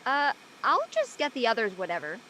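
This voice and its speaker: A young woman playing a practical seventeen-year-old high school girl in an audio play, casual and matter-of-fact. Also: huge pitch swings, fairly quick, pushed and bright, a little hiss or hum, heavily acted, low-quality recording. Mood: friendly, casual. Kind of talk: conversational acting in an online audio play.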